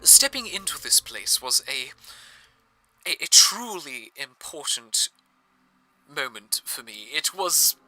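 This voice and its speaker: A man's voice: on the high side, sing-song, takes it slowly, pushed and bright, faint music behind, heavily acted, low-quality recording. A man playing an awestruck, devoted radio broadcaster, narrating in an earnest, reverent, descriptive way. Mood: overwhelmed, reverent. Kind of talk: scripted first-person radio narration.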